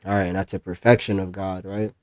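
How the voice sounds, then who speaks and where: A man's voice: low-pitched, level tone, brisk, no echo. A man making the case for his faith in a live online debate, explaining step by step and checking in with "right?".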